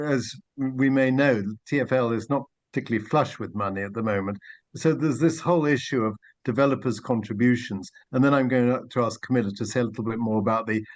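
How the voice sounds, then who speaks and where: A man chairing a panel discussion, putting questions to the speakers in a polite, inquiring way. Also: mid-range voice.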